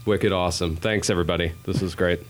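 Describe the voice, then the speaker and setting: Interview guest: man, very low and clear voice, from New England, easygoing rambling storyteller. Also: fairly even intonation, fast talker. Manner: rambling, self-deprecating, frequent fillers and restarts. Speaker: podcast guest, healthcare digital strategist.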